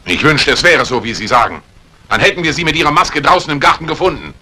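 An actor playing a stern, suspicious police inspector in a 1950s German radio crime drama, probing and sardonic. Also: male voice, fairly high pitch, expressive, quick pace, pushed and bright, breathy edge, heavily acted. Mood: accusing. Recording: old radio play recording with faint hiss.

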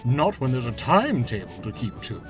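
A man's voice: medium pitch, very animated, moderate pace, over music, natural voice. A man voicing a stern, disapproving old railway coach in a storybook, with a curt manner. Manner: curt, retorting.

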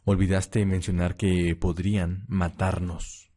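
Man as a grumpy, very deep husky young fighter. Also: flat delivery, takes it slowly, mellow. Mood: scornful. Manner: curt, dismissive. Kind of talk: amateur anime-style audio roleplay acting.

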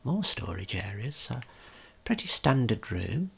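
A tour guide giving a gentle, unhurried ASMR tour in a breathy whisper, explaining things as they go. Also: mid-range voice, very animated.

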